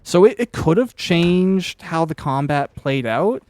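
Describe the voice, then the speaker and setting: A man's voice: a little high, animated, a little slow, darkish tone. A man hosting a casual interview, chatting in a rambling, anecdotal way and swearing freely. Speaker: podcast interviewer and author.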